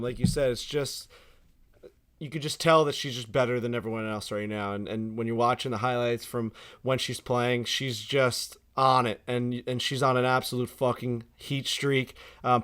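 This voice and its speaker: A man's voice: mid-range voice, fairly bright tone, clean tone. A man co-hosting a golf podcast, rambling with lots of fillers and swearing. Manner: rambling, filler-heavy, profane. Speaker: podcast co-host. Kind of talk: casual chat.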